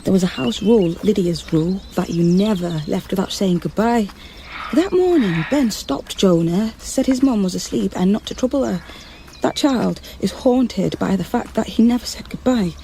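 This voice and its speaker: A woman's voice: medium pitch, lively intonation, normal speed, dark tone, a little hiss or hum, natural voice. An actress playing a determined, frustrated undercover policewoman in a naturalistic radio drama, speaking in an intense, insistent way. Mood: earnest, urgent.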